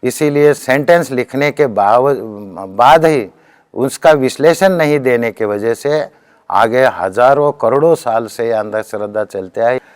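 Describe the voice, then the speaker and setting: A man's voice: mid-range voice, a little slow, clear, resonant tone, no room sound, studio quality. A man with a Deccan Hindi accent giving a spiritual discourse as a teacher, telling accounts earnestly and quoting speech as he goes.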